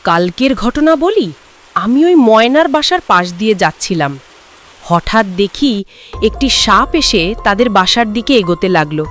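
A woman's voice: mid-range voice, animated, a little slow, fairly bright tone, soft background music. A woman voicing a grave bird friend who tells cautionary tales dramatically in a children's cartoon. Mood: grave, warning. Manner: dramatic, emphatic storytelling. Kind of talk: children's cartoon story acting.

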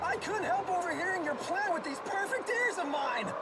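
An actor playing a boastful, hot-headed young attendant in a video game, theatrical and blustering, a bit of a bungler. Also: male voice, extremely high pitch, expressive, normal speed, a loud crowd, very noisy. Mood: smug, taunting. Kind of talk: animated game voice acting.

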